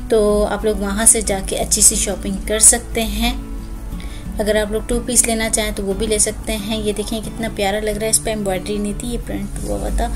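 A woman, chatting through a clothing store walkthrough as a shopping vlogger, filmed on a phone. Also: mid-range voice. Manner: chatty, lists prices and discounts.

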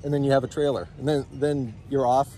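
A man in his forties calmly explaining his trailer in a matter-of-fact way, recorded outdoors on someone else's phone. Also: medium pitch, a little fast, a little hiss or hum. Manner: calm, matter-of-fact, some repeated words. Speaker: trailer company owner, guest. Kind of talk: casual product explanation. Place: outdoors on a grassy park by a lake. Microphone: phone held by another person nearby.

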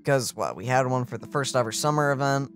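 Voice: medium pitch, fairly quick, faint music behind. A young adult let's play co-host making casual conversation and reacting to a game. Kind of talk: casual gaming chat and reactions.

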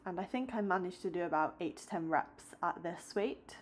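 A young woman vlogging about her day and workouts, chatting upbeat to a close phone camera, then calmly explaining each exercise in a voice-over. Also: on the low side, normal speed. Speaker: fitness vlogger. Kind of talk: casual vlog chat and workout voice-over.

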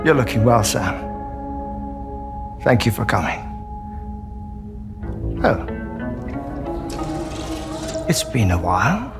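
A man playing a measured, courteous scientist in a video game cutscene. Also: on the low side, big pitch swings, gravelly, full character voice. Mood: courteous, pleased. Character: measured, courteous male scientist with failing heart. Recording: music behind the voice.

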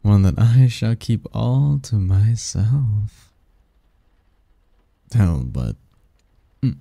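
A man plays a possessive male demon lover in a romantic ASMR roleplay. His voice is low and very mellow, with a gravelly creak. He speaks with teasing tenderness and old-fashioned courtliness. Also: sing-song, very slow, no echo, full character voice. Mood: adoring, longing.

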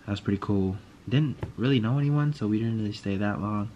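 A man's voice: on the low side, a little fast, lo-fi sound, a little hiss or hum. A young man casually recapping his day for his vlog in a laid-back, rambling, slangy way, filmed on a close phone camera in a small closet. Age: young adult. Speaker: vlogger. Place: small walk-in closet indoors. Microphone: phone camera close to face.

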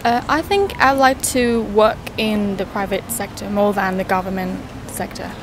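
A young woman from Vietnam, a university student answering interview questions thoughtfully with many pauses, outdoors on a city street. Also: medium pitch. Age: young adult. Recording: outdoor street noise with traffic.

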